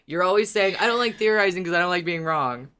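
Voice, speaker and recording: A man's voice: high voice, lively intonation, fast, bright, forceful tone, smooth. A man chats casually as a podcast co-host. He has a joking manner and is happy to poke fun at himself. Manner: jokey, self-deprecating. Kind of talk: casual chat.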